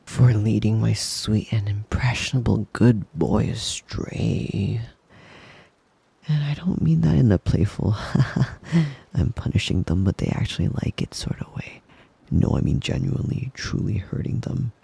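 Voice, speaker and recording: A man voicing a possessive, doting, menacingly devoted wolf boy lover in an intimate ASMR roleplay, breathy and husky, often slipping into a whisper, with a tender, teasing manner. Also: lowish voice, mellow, no room sound, heavily acted. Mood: teasing menace. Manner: slow, tender, teasing, giggly.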